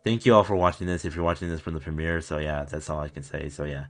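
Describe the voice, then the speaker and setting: Deep-voiced young man, rambling fan reaction. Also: steady tone, fast. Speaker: reaction video host. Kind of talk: casual unscripted reaction commentary. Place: small curtained room at home, indoors.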